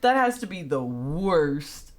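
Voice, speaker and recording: Girl streamer reacting to a game, lowish voice, super animated, slangy, swears a bit. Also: takes it slowly.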